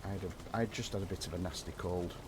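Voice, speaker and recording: A man live-streaming a building game, chatting casually with viewers and croaky from a cold. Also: deep voice, quick pace, background noise. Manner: rambling, self-deprecating, chats with viewers.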